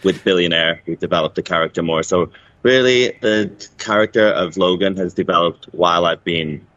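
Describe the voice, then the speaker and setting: Low voiced guy from liverpool doing a casual interview as an mc, dry and a bit muffled. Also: moderate pace, a bit bright, no room sound. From Liverpool, England. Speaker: cabaret MC, interview guest.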